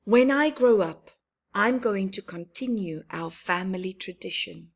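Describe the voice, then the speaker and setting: A woman's voice: medium pitch, lively intonation, measured pace. A woman voicing a proud, cheerful young boy tree from a children's storybook, with earnest, emphatic phrasing. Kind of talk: children's storybook narration in the first person.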